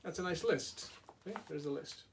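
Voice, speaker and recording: A man's voice: a little high, lively intonation, moderate pace, creaky, slight background noise. A man reading a storybook aloud to young children like a teacher, in simple phrases with small asides. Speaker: preschool and kindergarten teacher.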